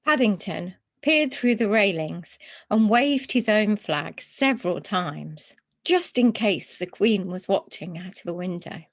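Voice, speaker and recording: Female children's story reader, slow paced with very lively, varied intonation. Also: medium pitch.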